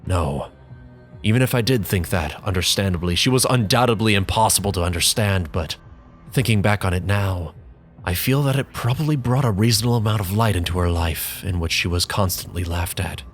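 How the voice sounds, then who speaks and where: A bitter young man broods in a low, measured voice, read by a man as a dramatic audiobook. He sounds contemptuous, and he stops for heavy pauses between phrases. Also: soft background music, close to an everyday voice. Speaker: narrator in character.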